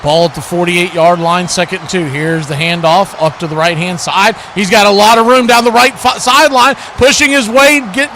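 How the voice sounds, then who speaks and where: A man's voice: high voice, fairly even intonation, normal speed, hardly any pauses, sharp tone, slight background noise. A man hosting a live stream, giving play-by-play commentary on American football. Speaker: play-by-play sports host.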